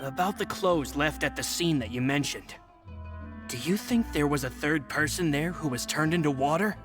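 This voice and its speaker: A man's voice: fairly high pitch, moderate pace, over music, lightly acted. A man playing a loyal, respectful gang henchman in a video game, earnest and deferential. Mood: questioning. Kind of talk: scripted video game voice acting.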